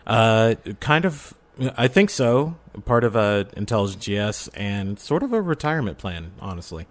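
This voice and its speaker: A man with a fairly low voice talks casually in a podcast conversation. His pitch moves around a great deal, giving his talk a very animated contour. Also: moderate pace.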